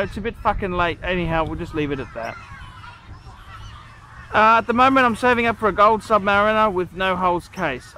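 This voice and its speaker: A man's voice: sits high, sharp tone, a little hiss or hum, rough recording. A middle-aged man hosting a watch channel, reading a viewer's letter and then giving crude, rambling, profane opinions, recorded outdoors in a park on a lapel mic. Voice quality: blunt, crude and profane, rambling with many restarts. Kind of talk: casual crude vlog rant.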